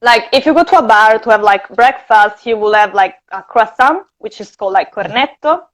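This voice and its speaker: A young woman with an Italian accent talks in a loose, chatty way, trailing through fillers and little laughs. Her voice sits fairly high. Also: animated, normal speed, low-quality recording. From Italy. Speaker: guest. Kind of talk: casual chat.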